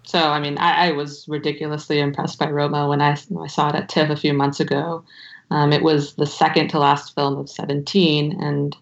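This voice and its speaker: Creaky-voiced woman, fairly even delivery. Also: low-pitched, moderate pace. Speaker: film podcast panelist. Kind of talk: conversational film discussion. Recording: slightly dull, lo-fi connection.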